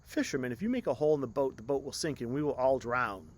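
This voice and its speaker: A man's voice: mid-range voice, animated, fast talker, close to an everyday voice. A man voicing a storybook prince, a pompous royal given to commands and anxious pleas. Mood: commanding. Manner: commanding, then worried and pleading. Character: pompous prince turning frightened, pleading man. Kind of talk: storybook character reading.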